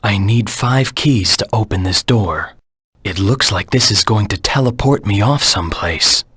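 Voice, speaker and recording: A husky, low, brooding video game anti-hero. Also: male voice, a bit bright, dry recording, clean studio sound, heavily acted. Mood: cool, matter-of-fact. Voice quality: husky, gravelly. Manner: terse, serious, deliberate self-talk. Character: Shadow, brooding male anti-hero hedgehog, cold and determined. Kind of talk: video game character voice lines.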